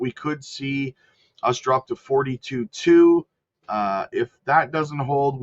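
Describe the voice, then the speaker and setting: A man with a cold, host of a channel, casually talking through market charts and hedging his calls, clearing his throat now and then. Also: mid-range voice. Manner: rattles off lists, chatty and hedging. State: sick with a cold. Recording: slightly dull lo-fi audio.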